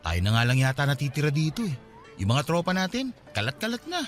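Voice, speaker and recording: An easygoing young man chats in a radio drama. His voice runs a little high and moves quickly, with very lively intonation. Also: faint music behind. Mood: wistful. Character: easygoing man of twenty-seven, settled, loyal hometown friend. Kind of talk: Tagalog radio drama dialogue.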